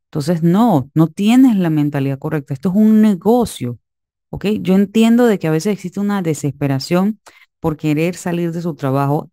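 A woman gives a screen-share lesson on online business in a mellow, warm tone. She speaks directly and often pauses to check that listeners follow. The sound is dry and close, with no room echo. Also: on the low side. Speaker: online marketing coach.

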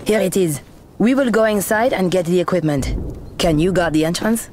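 A woman's voice: on the low side, normal speed, a little mellow, slight background noise, close to an everyday voice. A woman voicing an earnest, commanding resistance leader with a French accent in a video game. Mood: brisk. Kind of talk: video game cutscene acting.

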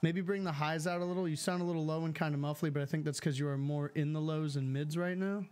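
A man's voice: a little high, fairly even intonation, quick pace, darkish tone, a little hiss or hum. A man chatting casually on a live game stream, reading chat aloud and answering it, on a studio mic at home. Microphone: studio mic with filters.

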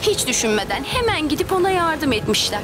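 A woman voicing a gentle teacher in a cartoon, telling a children's story with expressive, clear phrasing. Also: high voice, brisk, loud music behind the voice. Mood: gentle, storytelling.

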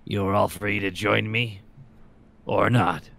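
A man's voice: fairly low pitch, steady tone, takes it slowly, creaky, fairly bright tone. A man playing a tabletop game, chatting casually and jokingly about his character's actions. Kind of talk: casual, jokey in-game chat.

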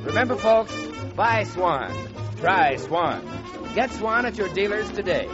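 A man's voice: sits high, big pitch swings, a little slow. A man announcing in 1940s radio commercial style, with polished, folksy salesmanship. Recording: dull 1940s radio, music behind.

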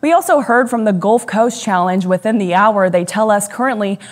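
A woman's voice: medium pitch, moderate pace. A young adult woman delivering a scripted television news report in a studio.

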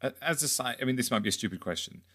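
A man's voice: medium pitch, animated, very fast, a bit bright, husky. A man hosting a music industry podcast, reading a subscription plug and asking questions casually. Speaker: podcast host. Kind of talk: podcast interview and ad read.

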